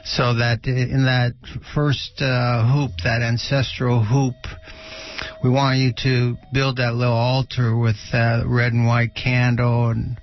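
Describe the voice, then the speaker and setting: A middle-aged or older man giving unscripted spiritual teaching on a radio show, halting and often pausing mid-sentence. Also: medium pitch, completely flat delivery, muffled recording.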